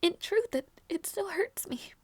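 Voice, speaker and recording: High-pitched grieving young princess, voiced by a woman. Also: animated, no room sound. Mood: wistful, longing. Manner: halting, repeats phrases, emotional. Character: grieving young princess, devoted and stubbornly hopeful. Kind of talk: dramatic audio roleplay monologue.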